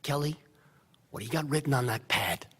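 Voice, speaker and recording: An actor playing a hot-tempered government official in a radio drama, barking threats in a husky voice. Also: male voice, mid-range voice, expressive, a little fast, a bit bright. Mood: angry, threatening.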